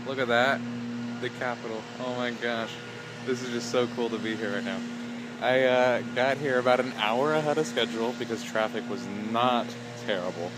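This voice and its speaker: A teenage boy vlogging to a phone held at arm's length outdoors, chatty and excitable with lots of fillers. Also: medium pitch, normal speed, background noise. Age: teens. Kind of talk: casual vlog. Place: outdoors on city streets. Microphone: phone camera at arm's length.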